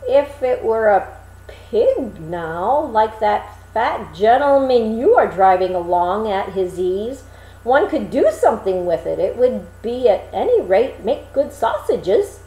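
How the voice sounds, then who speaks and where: A woman voicing a naive, excitable young man in a storybook, with exclamatory, old-fashioned speech. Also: fairly high pitch, takes it slowly, reverberant, low-quality recording. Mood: lamenting. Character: naive, excitable young man Hans, easily pleased and alarmed.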